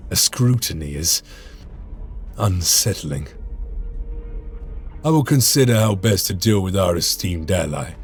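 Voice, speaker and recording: A man playing a grave, weary space marine commander in a game, solemn, measured and formal. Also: deep voice, lively intonation, faint music behind. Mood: wary, calculating. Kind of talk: dramatic scripted game acting.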